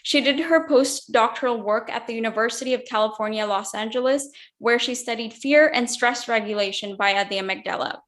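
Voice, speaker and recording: A woman hosts an interview over a video call, her voice sitting a little higher than most. She reads her introduction and asks her questions in an upbeat, gracious way. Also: a little fast, few pauses, fairly bright tone. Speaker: host.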